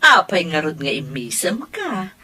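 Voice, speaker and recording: A woman's voice: sits low, big pitch swings, unhurried, a crowd in the background. A woman voicing a proud mother who teases her grown daughter. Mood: teasing.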